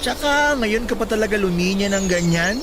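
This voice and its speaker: Young playboy, teasing, boastful, high lively voice, radio drama. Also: male voice, normal speed, background noise. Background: music.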